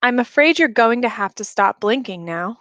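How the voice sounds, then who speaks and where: A calm, reassuring nurse in an audiobook, voiced by a woman reader. She speaks soothingly to a patient, a little high in pitch, in a dry recording. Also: normal speed, dry recording, dull audio.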